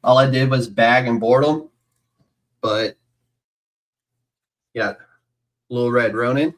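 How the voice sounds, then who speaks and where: A man hosting a podcast, chatting in a rambling, excitable way as a fan. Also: medium pitch, takes it slowly. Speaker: podcast host. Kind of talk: casual podcast interview.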